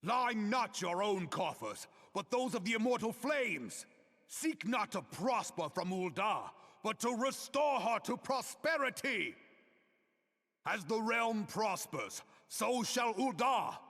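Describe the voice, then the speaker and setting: Proud fierce game general giving a big solemn speech, bright forceful voice, gravelly edge, long echo. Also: male voice, sits high, big pitch swings, full character voice. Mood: rousing. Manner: declamatory, solemn, deliberate. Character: fierce, proud, commanding male general rallying a nation. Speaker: military leader giving a rallying speech. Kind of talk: theatrical oratory. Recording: long reverberant hall echo.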